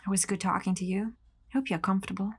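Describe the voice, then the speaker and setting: A woman acting as a young female office worker in an audio drama, with a mid-pitched voice. She sounds jaded and carries resentment under her words. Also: fairly even intonation, quick pace, breathy edge, close to an everyday voice. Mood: tender, bitter.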